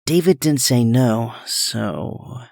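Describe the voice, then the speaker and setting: A man's voice: mid-range voice, expressive, takes it slowly, vocal fry, darkish tone, dry recording, studio quality. A man playing an awkward, earnest, brainy young superhero in an audio drama, speaking hesitantly. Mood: subdued.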